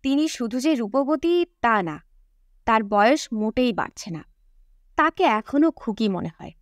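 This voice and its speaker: A woman's voice: fairly high pitch, animated, a little slow, no echo, clean studio sound. A woman reading a novel aloud as an audiobook narrator in a scripted storytelling style.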